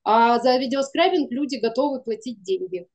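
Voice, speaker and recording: A woman in her forties with a fairly high voice. She talks informally in a video-call conversation, rewording her thoughts as she goes. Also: animated, normal speed, darkish tone. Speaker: animation studio producer. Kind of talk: casual conversational interview. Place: indoors in a room, on a video call.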